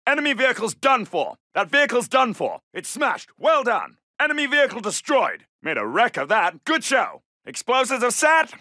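An actor playing a brisk, gallant British Second World War soldier in a video game, with a clipped, commanding and formal manner. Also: male voice, very high voice, huge pitch swings, sharp tone, no room sound, studio quality, exaggerated voice. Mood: brisk, satisfied. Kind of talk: military game battle barks.

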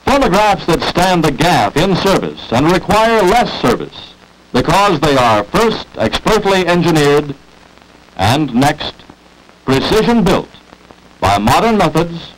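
An old 1940s film soundtrack carries an American man narrating an industrial promotional film. His voice sits a little high and moves with lively rises and falls. He phrases his script deliberately and emphatically, like a salesman making his case. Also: rough recording.

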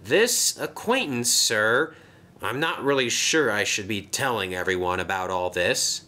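A man's voice: fairly low pitch, animated, unhurried, bright, forceful tone. A man voicing a polite, anxious little male steam engine who speaks hesitantly and deferentially.

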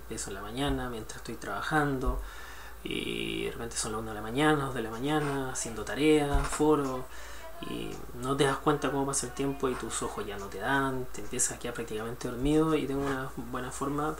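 A young man with a medium-pitched voice talks informally through a tutorial from a room indoors. He rambles and hesitates as he goes, and there is clear noise in the recording. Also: animated, brisk. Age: young adult. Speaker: student sharing a study tip. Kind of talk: casual chat in a screen-recorded tutorial. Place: small indoor room at a computer.

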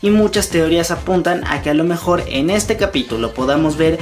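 A man's voice: a little high, a little fast. Young man vlogging emphatically over music. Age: 20s. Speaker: YouTube host. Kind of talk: fan news vlog, talking to viewers. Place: small room at home, indoors. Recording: music behind the voice. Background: background music.

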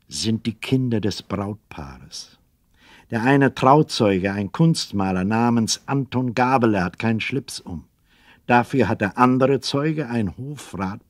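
Narrator, male: children's radio play, wry, expressive, dry close sound. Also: mid-range voice, no echo.

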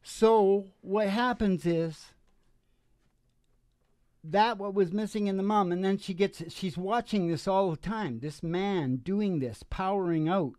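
A man's voice: high-pitched, slow pace, a little mellow, no room sound, clean studio sound. A middle-aged man chatting casually and emphatically, repeating phrases and checking in with "you know.". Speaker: host and singer-guitarist of a music podcast. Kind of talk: rambling casual chat. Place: small curtained indoor stage. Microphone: stand microphone, close. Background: acoustic guitar.

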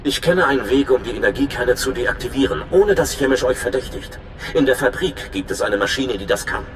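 A man's voice: medium pitch, a little fast, a bit bright, reverberant, slight background noise, natural voice. A man playing a nervous, friendly young undercover informant in a video game, chatty and informal with a touch of anxiety. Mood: earnest, eager. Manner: chatty, informal, slightly anxious. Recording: clear music behind the voice.

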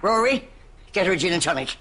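A man with a Dublin accent playing a brash, bossy, warm older Irish mammy in a broad studio sitcom. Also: high-pitched, expressive, a little fast. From Ireland. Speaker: lead actor of a sitcom. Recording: audience noise behind studio sitcom dialogue.

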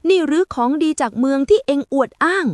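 A woman voicing an angry young village man shouting accusations in a storybook tale. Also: sits high, lively intonation, fast talker, no room sound, studio quality, pitched above the performer's own voice. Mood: accusing.

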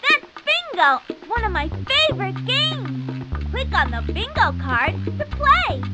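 A woman voicing a cheerful, encouraging little girl explorer in a children's game, speaking emphatically and clearly. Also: very high-pitched, sing-song, loud music behind the voice, exaggerated voice. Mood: excited, encouraging. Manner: bright, emphatic, slow and clearly enunciated, exclaiming praise. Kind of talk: children's game voice acting, instructing the player.

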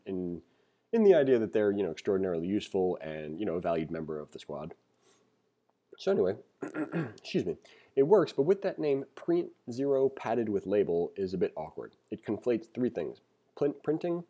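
A man with a low, very mellow, relaxed voice. He works as a programming instructor, reading a text aloud in a casual way. Between passages he thinks out loud and explains things informally. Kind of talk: casual read-along tutorial, reading aloud and commenting. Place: screen-recorded voice-over.

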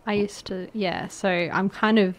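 A woman's voice: medium pitch, fairly even intonation. A woman with an Australian accent on a radio chat show, asking questions in a chatty way with many fillers and restarts. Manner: chatty, lots of fillers and restarts, quick to laugh. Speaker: guest interviewer asking questions. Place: community radio studio.

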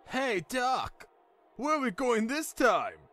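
A man's voice: very high-pitched, huge pitch swings, slow, bright, forceful tone, faint music behind, voice pushed up, full character voice. A man voicing a nervous, inquisitive teenage boy sidekick who stammers anxiously.

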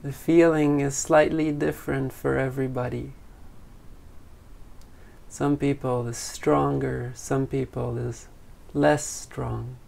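A man leading a guided meditation in a gentle, unhurried way, leaving long pauses between phrases. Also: medium pitch, flat delivery, vocal fry. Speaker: meditation teacher.

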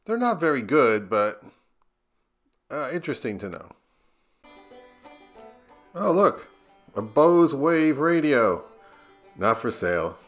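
A man's voice: mid-range voice, big pitch swings, slow, long pauses, faint music behind. A middle-aged American man narrating a casual vlog in a wry, conversational way. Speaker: audio gear YouTuber.

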